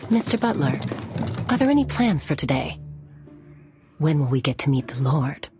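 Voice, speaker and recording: A woman's voice: deep voice, very animated, normal speed, music in the background. A woman playing a composed, reserved female scholar in video game dialogue, speaking precisely.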